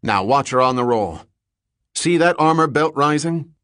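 A man's voice: medium pitch, lively intonation, normal speed, a bit bright, clean tone, dry recording, studio quality, pitched above the performer's own voice, close to an everyday voice. A man playing a blunt, commanding navy sea captain in an audiobook, emphatic and instructing as he explains. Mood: excited, exasperated. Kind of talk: dramatic audiobook character reading.

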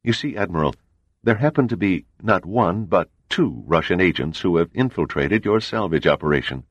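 A man voicing a calm male intelligence official who speaks impassively and evasively. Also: sits low, normal speed, no echo, dull audio, voice pushed up.

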